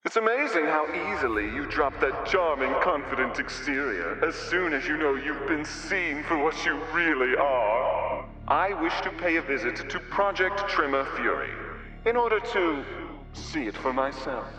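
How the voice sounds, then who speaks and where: Guy doing smug sly alien schemer for a game, higher voice, taunting drawn-out phrasing, music under it. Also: expressive, natural voice. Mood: menacing, gloating. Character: sly, smug male alien blackmailer, theatrically refined. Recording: roomy echo with music underneath.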